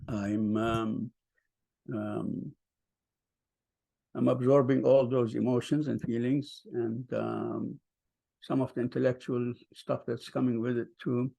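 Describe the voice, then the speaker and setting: An older man speaks unhurriedly, his low voice rough with fry. He tells his own story at a vigil, pausing often and filling the gaps with soft ums. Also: level tone, poor audio. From Palestine. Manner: hesitant, many ums, soft-spoken. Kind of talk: personal storytelling.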